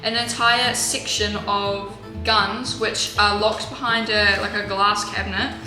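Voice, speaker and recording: A woman vlogging in a home kitchen, chatting in a rambling way with sarcastic, deadpan asides, recorded on a camera mic. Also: mid-range voice, measured pace. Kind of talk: casual vlog chat. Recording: roomy with music bed.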